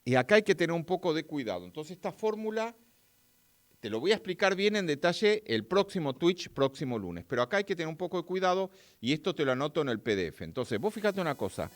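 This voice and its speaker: Lively Argentine man teaching physics. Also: fairly high pitch, fast, dry recording. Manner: chatty, talks straight to viewers and reads their chat. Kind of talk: live-streamed physics lesson.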